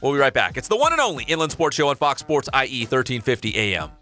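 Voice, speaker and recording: A man's voice: medium pitch, quick pace, soft background music. A man hosting a sports radio show in a studio, talking casually and reading station sign-offs. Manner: lively, promo-style sign-offs. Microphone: studio boom mic.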